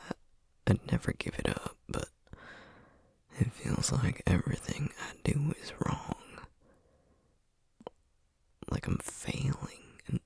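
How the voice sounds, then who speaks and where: A man's voice: mid-range voice, dry recording, full character voice. Man acting a whispering, burnt-out Southern sorcerer dad. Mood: self-doubting, low. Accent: Southern American. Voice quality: hushed, breathy whisper. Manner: halting, confiding, with sighs and trailing pauses. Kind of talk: whispered ASMR roleplay.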